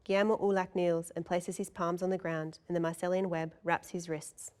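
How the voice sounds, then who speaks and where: A woman's voice: lowish voice, little pitch movement, normal speed, darkish tone. A woman narrator reading scene descriptions and stage directions from a script.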